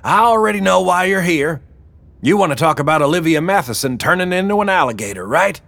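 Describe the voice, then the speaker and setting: A brash older woman who runs the gang, as a male narrator puts her on, pitched up and lively. She is coarse, folksy and mocking, a storybook boss who teases and orders people around. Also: a little fast, vocal fry, no echo. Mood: brash, commanding.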